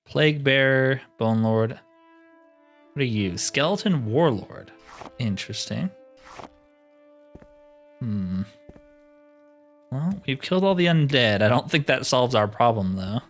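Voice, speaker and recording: Musing male gamer with lively pitch. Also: slow pace, pauses often, faint music behind. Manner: musing, talks to himself. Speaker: gamer narrating a role-play playthrough. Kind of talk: casual gameplay commentary, thinking aloud.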